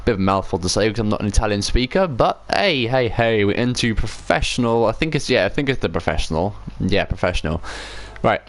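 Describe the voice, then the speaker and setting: Male game streamer, lowish voice, casual rambling commentary, self-correcting, conversational. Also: sing-song, a little fast, few pauses. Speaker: livestream host.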